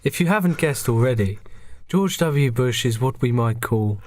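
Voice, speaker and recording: Animated man narrating a comic tale. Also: mid-range voice, moderate pace, dry recording, studio quality. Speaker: podcast regular narrating.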